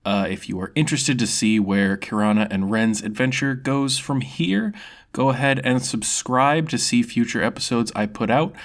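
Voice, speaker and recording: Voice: mid-range voice, normal speed. A storyteller narrating their own fantasy tale, sometimes dramatic and sometimes casually addressing listeners. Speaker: storyteller and channel creator.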